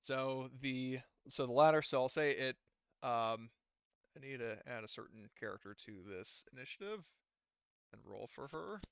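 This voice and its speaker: A man running a tabletop roleplaying game as game master, improvising narration in an informal, halting way full of fillers. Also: mid-range voice, animated, creaky, clear, resonant tone, dry recording, highs cut off.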